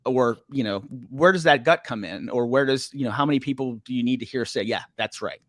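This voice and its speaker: A man's voice: medium pitch, brisk, dry recording, clean studio sound. A man hosting a podcast interview, asking long rambling questions with jokes and fillers. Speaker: podcast host. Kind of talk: casual interview chat.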